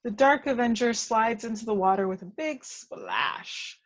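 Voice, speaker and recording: A woman reading action descriptions as scripted narration at a table read, with measured, dramatic storytelling. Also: on the high side, huge pitch swings.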